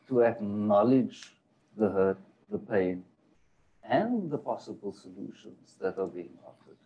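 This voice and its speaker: A man's voice: on the low side, warm tone, poor audio. A man moderating a panel discussion, asking long questions with searching, hesitant phrasing and frequent fillers.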